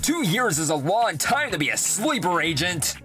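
A woman voicing a confident, protective hedgehog king with a breezy manner in an animated comic dub. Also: on the low side, normal speed, over loud music. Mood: determined. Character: heroic adult male hedgehog king, cocky and protective.

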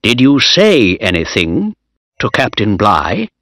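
A man's voice: on the low side, huge pitch swings, slow pace, fairly bright tone, no room sound, clean studio sound, exaggerated voice. A man voicing a stern naval captain who questions a young officer at a trial.

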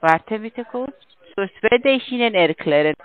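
A non-native woman with a foreign accent, reciting a scripted call centre pitch over the telephone. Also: lively intonation, a little slow, dry recording. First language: not German. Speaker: scam caller posing as investigator. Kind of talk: scripted phone pitch in broken German. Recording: phone-like telephone line.

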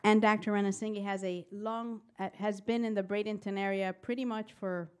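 A woman's voice: medium pitch, fairly even intonation, normal speed, a little mellow, clear, resonant tone. A woman speaking as a program presenter, introducing new medical residents at a formal public meeting. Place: public board meeting room.

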